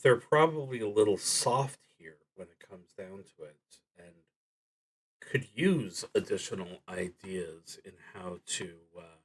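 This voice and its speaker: A man streaming a solo tabletop roleplaying game, reading prompts and thinking aloud in a halting, hesitant way that trails off. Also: lowish voice, fairly bright tone, breathy edge.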